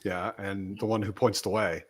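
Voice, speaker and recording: A man's voice: fairly low pitch, steady tone, normal speed. A man chatting casually as a panelist in a book discussion, often voicing imagined reactions.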